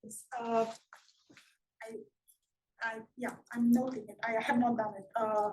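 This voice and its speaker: High-voiced woman, echoey and distant. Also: level tone, normal speed, darkish tone. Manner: rambling, heavy use of "sort of" and "right" fillers. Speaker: invited speaker answering audience questions. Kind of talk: academic talk Q&A, unscripted. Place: lecture room. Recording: echoey room, voice from far off, faint noise.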